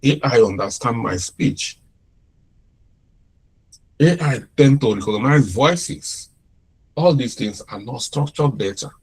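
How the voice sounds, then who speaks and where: A man with a Nigerian accent giving an informal classroom lecture, unhurried, often repeating and restarting his phrases. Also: medium pitch, expressive, husky, poor audio.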